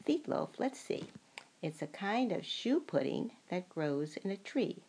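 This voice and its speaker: Bemused storybook wise man played by an older woman: musing, drawn-out, creaky, swooping in pitch. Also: sing-song, darkish tone, exaggerated voice. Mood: pondering.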